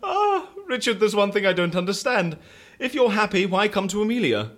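An eccentric agency man who takes on odd clients. His voice is rough-edged and husky, with a very lively rise and fall. He mixes quaint exclamations with dry, businesslike asides in audio drama style. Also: sits high, moderate pace, exaggerated voice. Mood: giddy. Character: quirky male case handler at a disappearance agency.

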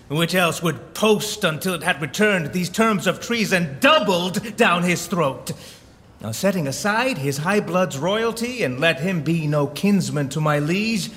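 Hot-blooded proud duke, high voice, shakespeare verse, very emphatic, lots of hall echo. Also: male voice, pushed and bright, full character voice. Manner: emphatic, formal, declamatory. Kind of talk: Shakespearean verse drama acting. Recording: long echoing hall reverb.

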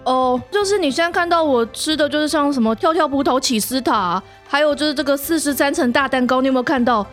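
A woman's voice: high-pitched, fast, faint music behind, lightly acted. A woman playing a short, chubby, wingless elf prince who chats playfully and self-deprecatingly in a fairy-tale interview. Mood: chatty, self-deprecating. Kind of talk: in-character interview, fairy-tale audio drama.

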